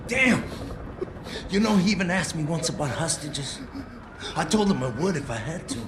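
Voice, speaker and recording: An actor playing a cornered, vengeful young hostage-taker in a video game, agitated and swaggering with street talk. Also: male voice, a little high, expressive, moderate pace, background noise, lightly acted. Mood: bitter, resigned. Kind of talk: dramatic video game acting. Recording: echoey room.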